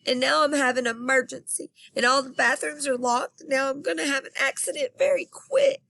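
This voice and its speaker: A woman voicing a feisty, impatient little girl of about six in a storybook, talking loud, urgent and whiny. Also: high-pitched, expressive, brisk, creaky, no echo, voice pushed up, heavily acted. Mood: desperate.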